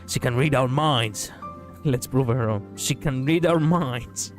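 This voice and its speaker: A man with a raspy voice streaming a game, chatting casually in a rambling way, laughing often and swearing. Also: medium pitch, a little slow, soft background music. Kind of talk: casual gaming stream chat.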